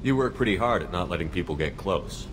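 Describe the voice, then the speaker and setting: A steady male military commander in a game. His deep voice moves quickly and directly, with long reverb around it. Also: noisy background. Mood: probing. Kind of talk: video game character acting.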